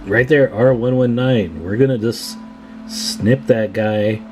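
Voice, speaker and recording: A man's voice: lowish voice, slow pace, a little mellow, soft background music. A man hosting a radio repair video, explaining hands-on work in a casual, folksy way and chatting informally to viewers.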